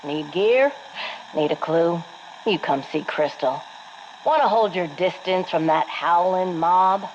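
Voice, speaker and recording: An actress playing a brash, folksy Marine quartermaster with an American accent, delivering sassy, salty patter for a game. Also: female voice, fairly low pitch, takes it slowly. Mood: brash, cocky. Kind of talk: scripted game monologue. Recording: dull, noisy radio log.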